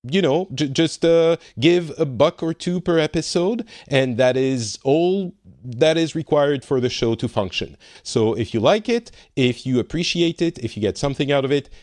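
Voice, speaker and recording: A man from France with a French accent, hosting a casual podcast, chatty and quick to correct himself. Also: a little high, darkish tone, dry recording, clean studio sound. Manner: chatty, self-correcting, laughs easily. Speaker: podcast host. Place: home in Finland.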